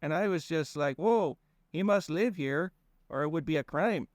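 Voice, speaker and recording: A man's voice: medium pitch, normal speed, dry recording, clean studio sound, lightly acted. A man voicing a shifty, rambling male werewolf cousin with a put-on folksy accent. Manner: folksy rambling, keeps saying "don't you know".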